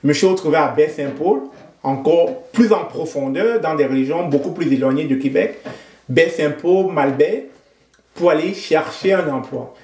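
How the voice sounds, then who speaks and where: A man from Cameroon, a guest in an interview, speaking in a thoughtful, measured way with frequent hesitations. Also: a little high, darkish tone, reverberant. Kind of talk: conversational interview.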